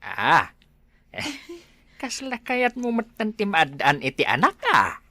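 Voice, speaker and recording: A woman's voice: deep voice, huge pitch swings, slow, sharp tone, dry recording, full character voice. A woman playing a grumbling, sighing village parent with an aching back in an Ilocano comedy drama. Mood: weary, teasing.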